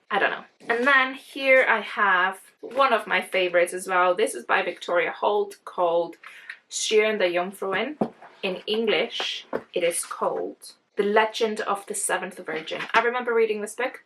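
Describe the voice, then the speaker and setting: A woman's voice: mid-range voice, pushed and bright, low-quality recording. A young woman from Iceland, with as her tongue, rambling cheerfully through a casual book unboxing and often restarting her words. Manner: chatty and rambling, often restarts words, gushes about books. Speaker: book vlogger.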